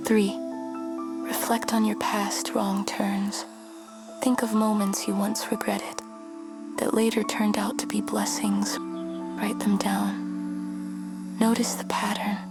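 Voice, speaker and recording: A woman in a whisper, guiding a meditation in a slow, soothing way. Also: medium pitch, vocal fry. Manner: slow, soothing, flat delivery. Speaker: meditation guide. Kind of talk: guided meditation. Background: music.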